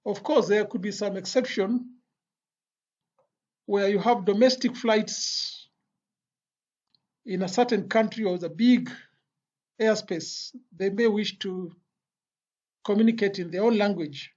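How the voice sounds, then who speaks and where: An instructor giving an explanatory lecture in a deliberate, phrase-by-phrase way with pauses. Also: mid-range voice, darkish tone. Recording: dull, lo-fi voice recording.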